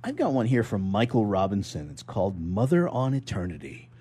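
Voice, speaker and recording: Mellow, husky classic male radio voice. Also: lowish voice, sing-song, fairly quick. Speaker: repertory player reading a story. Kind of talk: story reading. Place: radio studio.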